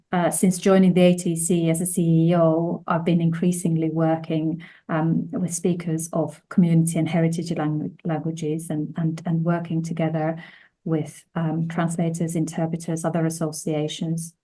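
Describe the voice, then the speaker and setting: A woman, by birth, gives a measured conference presentation over a video call. Her voice sits on the low side and sounds mellow and dark. She often restarts her phrases as she goes. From Finland. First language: Finnish. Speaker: conference speaker.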